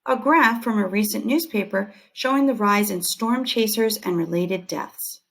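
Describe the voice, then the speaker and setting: Creaky-voiced woman reading a lesson aloud. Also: medium pitch, moderate pace, fairly bright tone. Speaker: teacher. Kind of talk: read-aloud of scripted lesson text.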